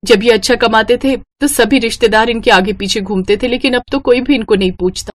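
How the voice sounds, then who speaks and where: A woman's voice: on the high side, brisk, lightly acted. A woman voicing a worried, plaintive married sister in a moral story. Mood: grateful, sad. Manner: anxious, plaintive. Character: worried, pleading married sister short of money. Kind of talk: Hindi moral story voice acting.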